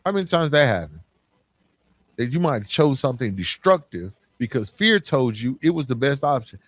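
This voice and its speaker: American guy doing a motivational podcast, talks straight to you, asks questions, real dry sound, regular mid pitch. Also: big pitch swings, normal speed, no echo. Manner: informal, direct address with rhetorical questions. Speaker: podcast host.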